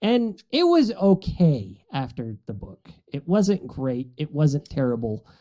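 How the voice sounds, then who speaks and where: A man giving measured, even-handed opinions in a casual podcast panel chat. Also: on the high side. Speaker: podcast co-host.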